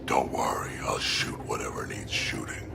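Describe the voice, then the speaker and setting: An extremely low, growling old alien warrior from a sci-fi game. He talks bluntly with sour, sardonic bite, over prominent music. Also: little pitch movement, normal speed, creaky, whispered, long reverb tail, exaggerated voice. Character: gruff old male Krogan alien warrior, bitter and blunt. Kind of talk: video game cutscene acting.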